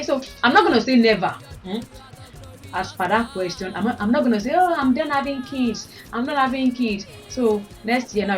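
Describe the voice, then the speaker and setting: A woman in her thirties with a Nigerian accent and a fairly deep voice, chatting frankly to her viewers. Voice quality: deeper voice since having babies. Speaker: vlogger. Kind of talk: casual chat to camera. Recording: voice a bit far from mic, faint music.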